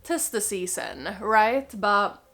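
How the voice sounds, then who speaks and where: Woman fashion vlogger, mid-pitched and slightly stuffed up with a cold; conversational, emphatic, casual review delivery. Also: big pitch swings, slow pace, creaky, sharp tone. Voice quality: slightly nasal. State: has a cold. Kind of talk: casual chatty review to camera.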